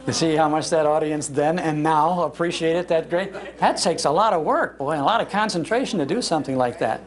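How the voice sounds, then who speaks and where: A man's voice: a little high, a little fast. An older man giving an informal, conversational talk to an audience, explaining as he goes. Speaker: lecturer on old radio, host. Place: public library talk.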